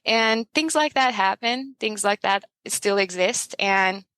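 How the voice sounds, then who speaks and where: A young adult woman from Iran speaks as a podcast guest in a mid-range voice. She is animated and emphatic, laughs freely and drives her points home with rhetorical questions. The sound is close and dry, with no room echo. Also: medium pitch, takes it slowly, clear, resonant tone. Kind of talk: casual interview chat.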